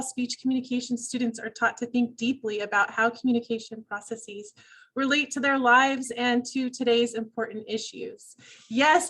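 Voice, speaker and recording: A young adult woman, a speech professor, giving an upbeat, clear presentation over a video call. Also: fairly high pitch, fairly bright tone, low-quality recording.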